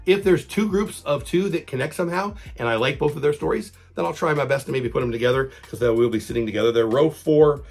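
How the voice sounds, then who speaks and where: A man with a hoarse voice from a cold, hosting a casual sports fan vlog and talking chatty and repetitive. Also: mid-range voice, quick pace, hardly any pauses, darkish tone. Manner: chatty, repeats himself, fan talk. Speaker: channel host.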